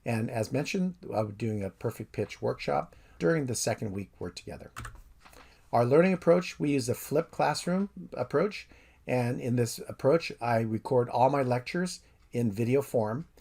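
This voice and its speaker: Man, professor, fifties, mid-pitched, methodical, recorded course intro. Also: moderate pace, vocal fry, slightly rough. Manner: steady, methodical explainer.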